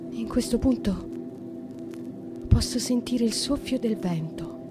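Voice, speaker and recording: Young noblewoman captive, brooding and lyrical, pitched high, radio play with soft music behind. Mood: dreamy, wistful. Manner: lyrical, slow and reflective. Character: young noblewoman imprisoned in a dark cell, brooding. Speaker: actress. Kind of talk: radio drama monologue.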